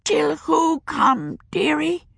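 A woman's voice: high-pitched, very animated, very slow, gravelly, a bit bright, no room sound, clean studio sound, pitched above the performer's own voice, heavily acted. A woman voicing a frightened, cursed old witch woman who pleads and begs to be allowed to die, in an audiobook reading. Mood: weak whisper. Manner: pleading, quavering, begging to die.

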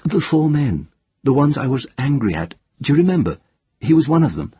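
A man putting on a tender yet fierce grown woman who soothes a terrified child. Her voice sits well above his own, soft and murmuring, with a husky grain. The sound is dry and close. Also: medium pitch, sing-song, fairly quick, dry recording, voice pushed up. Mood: gently probing.

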